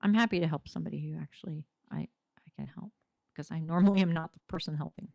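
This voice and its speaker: A low-voiced woman with a gravelly, creaky edge rambles on in casual chat, piling on details. Her voice is wearing hoarse from hours of talking. The sound is close and dry, with no room in it. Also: fast talker, darkish tone, no echo. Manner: rambling, talkative, self-deprecating. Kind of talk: casual chat while playing a game.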